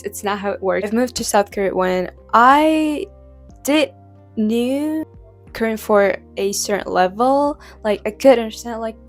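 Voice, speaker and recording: A young woman who is not a native English speaker, talking casually to camera in a vlog with lots of "like" fillers and restarts. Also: medium pitch. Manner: rambling, heavy use of "like" fillers, frequent restarts. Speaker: vlogger.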